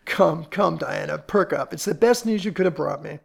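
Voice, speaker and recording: A man's voice: fairly high pitch, normal speed, vocal fry, husky. A man playing a composed, clever amateur detective in an audiobook, speaking in an assured, incisive manner. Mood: cheerful, teasing.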